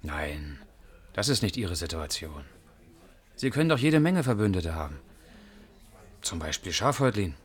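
An actor playing a reserved, wry man in a 1980s radio play, with a husky voice and understated delivery. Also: deep voice, sing-song, normal speed, pauses often, exaggerated voice. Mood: gently firm. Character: reserved, wry man drawn to a young woman.

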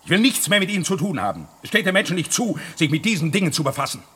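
An actor playing a cautious, God-fearing older professor in a radio drama, with a husky voice and a grave, admonishing manner. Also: male voice, fairly high pitch, big pitch swings, racing, pushed and bright, heavily acted. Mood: grave warning. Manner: grave, admonishing, swelling and dropping. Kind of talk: German radio drama acting.

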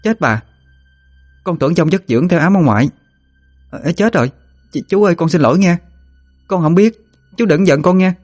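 A man's voice: on the high side, fast talker, pauses often, faint music behind, voice pushed up, close to an everyday voice. A man voicing a spirited, scrappy young granddaughter in a thin, whiny, scolding voice for a dramatized story. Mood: flustered apology. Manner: whiny, scolding, repeats words.

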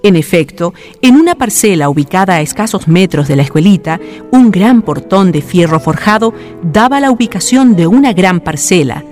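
A woman's voice: fairly low pitch, expressive, normal speed, over music. A woman reading scripted narration for a radio drama.

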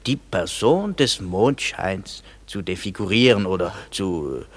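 A man's voice: sits low, expressive, measured pace. An actor playing a male craftsman leading a play rehearsal, agreeable and fond of repeating himself. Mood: organizing. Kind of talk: German radio play acting.

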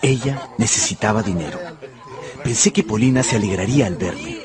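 A man's voice: lowish voice, expressive, fairly quick, a crowd in the background, close to an everyday voice. An actor playing an earnest, lovestruck young man, narrating and speaking in an intimate radio drama. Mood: wistful narration. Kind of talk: first-person radio drama narration and dialogue.

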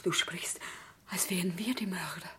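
An actress playing a grieving, anxious Parisian wife in an old German radio drama, hushed and halting. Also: female voice, lowish voice, heavily acted. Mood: troubled. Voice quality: breathy, hushed. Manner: slow, halting, dramatic delivery.

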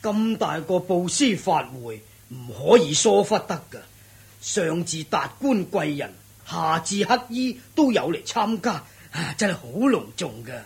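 A man's voice: fairly high pitch, very animated, measured pace, creaky, full character voice. A man with a Hong Kong Cantonese accent playing a dutiful, deferential household steward in a radio drama, speaking ceremoniously.

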